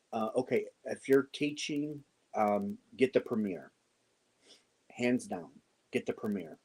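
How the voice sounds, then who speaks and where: Male host, husky and mellow, unhurried live-stream chat with lots of ums. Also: on the low side, rough recording. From United States. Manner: halting, many ums, reads and answers viewer comments. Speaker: crochet show host.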